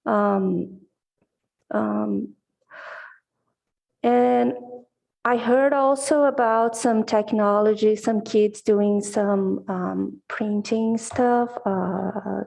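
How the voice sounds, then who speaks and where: A woman's voice: mid-range voice, fairly even intonation, very slow, vocal fry, a little mellow. A middle-aged woman from Brazil, Portuguese her first language, answering interview questions hesitantly with many fillers over a video call. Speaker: commission applicant. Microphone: video call mic.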